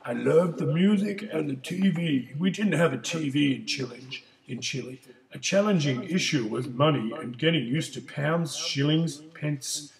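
A man playing an older male Chilean migrant who tells his story in a plain, steady way, in a school project role-play interview. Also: on the high side, a little slow, creaky, hardly any pauses, roomy sound, rough recording. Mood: calm.

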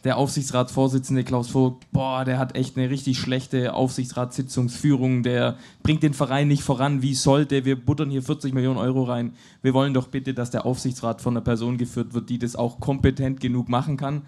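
A man's voice: mid-range voice, flat delivery, fairly quick, few pauses, warm tone. A man hosting a live football panel, putting pointed, provocative questions to his guests into a handheld mic. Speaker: host. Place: pub stage before a live audience.

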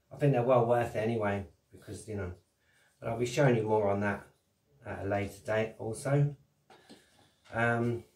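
A man giving a cheerful, rambling how-to demonstration as channel host, talking at a desk in a small home workshop. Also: lowish voice. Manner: cheery, rambling, laughs at own jokes. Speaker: cigar box guitar builder and channel host. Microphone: camera mic at desk distance. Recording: roomy, distant camera sound.

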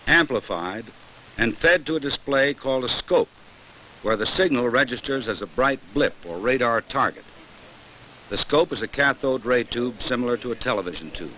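A man with an American accent narrates a vintage instructional film in a bright, crisp-edged voice. His delivery is formal and measured, at an ordinary male pitch. A faint hiss from the old 1960s soundtrack runs under him. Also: poor audio.

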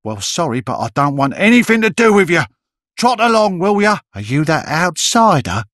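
A man's voice: high voice, big pitch swings, normal speed, a bit bright, dry recording, clean studio sound. An actor playing a shifty, paranoid townsman in a video game, falsely chummy and scornful, with an insinuating lilt. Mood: sly, dismissive. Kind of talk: video game character acting.

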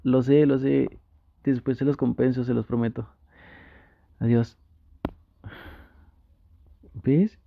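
A flustered young gamer boyfriend with a Mexican accent, played by a man for an intimate audio roleplay. He speaks slowly and haltingly in a gravelly, coaxing voice. The recording is dry and slightly dull. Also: mid-range voice, flat delivery, no echo. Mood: apologetic, then resigned, admiring. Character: flustered, easily tempted gamer boyfriend, playfully pleading. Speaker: ASMR roleplay creator.

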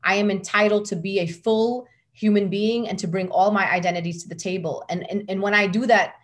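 A woman's voice: mid-range voice, fairly even intonation, a little fast. A middle-aged woman from the United States, a guest speaking passionately and emphatically over a video call from a room at home. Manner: passionate, emphatic, repeats words when building a point. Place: home room with curtains behind. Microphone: laptop webcam on video call.

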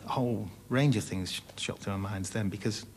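A man's voice: on the low side, a little fast, a little mellow. A man in his forties giving a reflective interview as a rescue diver. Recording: clear background noise.

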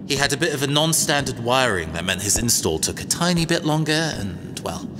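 A man's voice: medium pitch, big pitch swings, a little fast, big-room sound, background noise, close to an everyday voice. A man playing a cagey, self-assured robot engineer mercenary in an audio drama, wry, conversational and hesitant. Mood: sheepish, fond.

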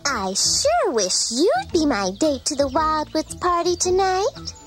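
A woman's voice: sits high, sing-song, slow pace, soft background music, exaggerated voice. A woman voicing an eager, earnest small child in a cartoon, with a sweet manner. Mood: hopeful. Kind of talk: cartoon character acting.

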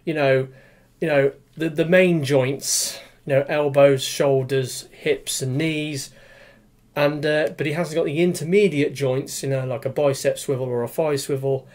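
Chatty toy reviewer in his forties, a touch high-pitched, meandering and full of fillers, recorded on a webcam at home. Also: steady tone, unhurried, darkish tone. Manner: rambling, many fillers and restarts. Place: small room with display cabinet, indoors.

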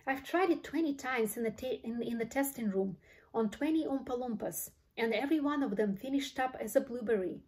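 A woman's voice: fairly high pitch, fairly quick, darkish tone, lightly acted. A woman voicing a fretful, apologetic male candy inventor who sighs and stammers over his words. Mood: resigned annoyance. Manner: sighing, stammering repetitions.

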